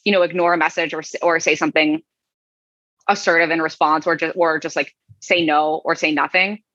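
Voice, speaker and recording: A woman's voice: low voice, fairly even intonation, brisk, fairly bright tone, no echo. A woman co-hosting a podcast in casual chat, hesitant and filling her sentences with fillers and restarts. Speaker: podcast co-host. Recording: dull, dry recording.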